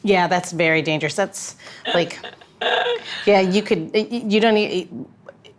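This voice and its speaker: A woman co-hosting a podcast in a relaxed, casual chat. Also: on the low side, unhurried. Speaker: podcast co-host.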